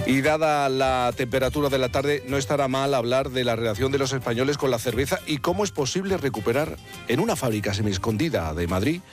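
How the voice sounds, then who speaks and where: A male radio host speaks in an even middle pitch. He presents scripted introductions and puts questions to a panel, with music at times under his voice.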